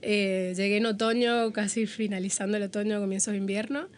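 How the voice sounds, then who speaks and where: A woman's voice: mid-range voice, little pitch movement, a little fast. Venezuelan woman chatting as podcast guest. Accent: Venezuelan Spanish. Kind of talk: casual interview chat.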